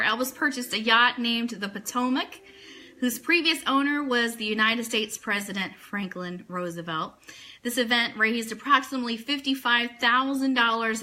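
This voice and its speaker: A woman's voice: fairly high pitch, sharp tone. A woman hosting a live online radio show, chatting casually with viewers as she shares news items. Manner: chatty, addressing viewers and reading their comments.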